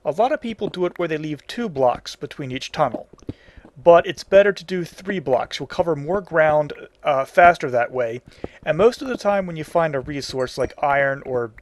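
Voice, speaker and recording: Guy doing a laid out step by step game tutorial, kinda high voice, bit of noise behind him. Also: lively intonation, moderate pace. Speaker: tutorial host. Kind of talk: casual video game tutorial commentary.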